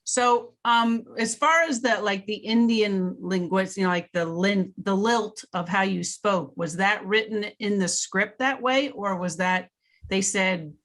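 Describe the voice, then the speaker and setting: A woman's voice: medium pitch, unhurried, fairly bright tone. A woman moderating a video-call question-and-answer session, putting questions to a guest in a casual, conversational way. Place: remote video webinar.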